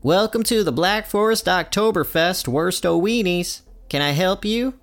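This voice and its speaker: A man voicing a brisk, sardonic serving woman from a cartoon restaurant. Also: high-pitched, a bit bright, soft background music, voice pushed up. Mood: brisk welcome.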